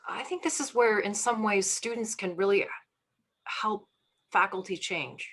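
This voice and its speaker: A woman speaking as a faculty panelist, thoughtful and halting, restarting her phrases often. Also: low voice, fairly bright tone. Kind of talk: panel discussion. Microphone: video call.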